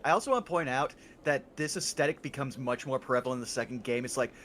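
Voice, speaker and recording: A man chatting casually on a live stream, excitable and laughing often. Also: mid-range voice, quick pace, slight background noise.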